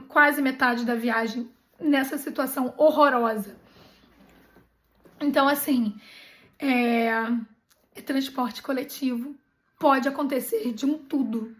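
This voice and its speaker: A middle-aged Brazilian woman, a travel channel host, retelling a bad bus trip in an animated, indignant way, with many restarts. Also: sits high. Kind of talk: personal storytelling vlog.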